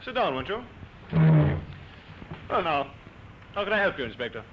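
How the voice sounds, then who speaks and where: An actor playing a polite, obliging army major with clipped formality in an old radio drama. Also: male voice, a little high, big pitch swings, normal speed, sharp tone. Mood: obliging, courteous. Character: courteous, obliging army major, camp commander. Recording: muffled, noisy old radio broadcast.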